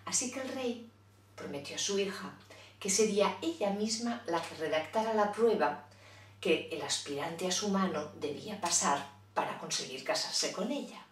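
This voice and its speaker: A woman's voice: mid-range voice, animated, moderate pace, reverberant, recorded from a distance. A woman telling a children's fairy tale in a playful, chatty way, with asides to her listeners. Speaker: storyteller. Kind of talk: children's storytelling.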